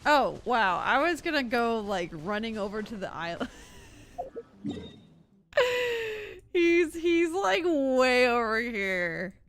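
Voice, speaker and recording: A woman's voice: on the high side, very animated, takes it slowly, long pauses, fairly bright tone. A young woman chatting casually and reactively while streaming a game, rambling along in a giggly way. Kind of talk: casual live gameplay chat. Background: game music.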